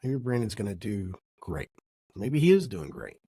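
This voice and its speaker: A man's voice: on the low side, very animated, moderate pace, dark tone, husky. A middle-aged man with a cold and a nasal voice, talking through a casual, reflective podcast monologue that rambles and corrects itself as he goes. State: has a cold. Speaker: podcast host.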